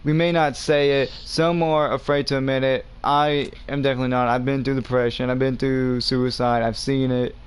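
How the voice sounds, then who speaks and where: A man's voice: medium pitch, little pitch movement, normal speed, few pauses, clear, resonant tone. A young man with a Southern US accent, hosting a gaming video and talking earnestly to his viewers over a headset. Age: young adult. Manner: earnest, talks straight to viewers as "guys". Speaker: gaming channel host. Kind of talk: casual chat while playing a game.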